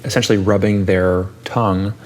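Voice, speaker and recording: A man's voice: low voice, fairly even intonation, moderate pace, darkish tone, slight background noise. A man in his thirties hosting a casual interview, thinking aloud and asking curious questions. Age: 30s. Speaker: podcast host.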